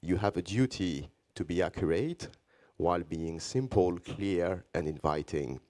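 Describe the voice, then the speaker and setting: A man from Belgium whose first language is French, giving a measured conference presentation in English with a Belgian French accent and frequent pauses. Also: deep voice, a little mellow. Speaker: conference speaker, journalist.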